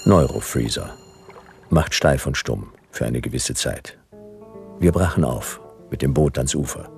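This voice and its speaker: A man's voice: extremely low pitch, lively intonation, measured pace, creaky, music in the background, heavily acted. An actor playing a sardonic private detective who narrates his case in laconic, clipped sentences in a noir radio play. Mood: laconic, dry.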